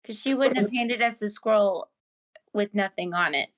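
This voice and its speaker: A woman's voice: mid-range voice, normal speed. A woman playing a tabletop role-playing game, talking casually with the other players.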